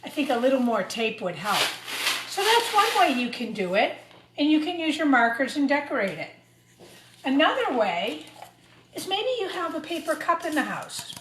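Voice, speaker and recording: A woman's voice: sits high, sing-song, normal speed, fairly bright tone. A woman teacher giving an informal craft demonstration for young children, talking through each step as she works. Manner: talks through each step while working. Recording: roomy echo, clear background noise and clatter.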